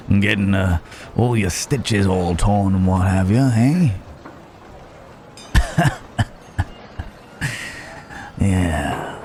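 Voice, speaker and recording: A man playing a roguish, wry but protective Wild West cowboy in an ASMR roleplay, with a halting, teasing drawl. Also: low-pitched, darkish tone, slight background noise. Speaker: audio roleplay voice actor. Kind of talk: ASMR roleplay acting, addressed to the listener.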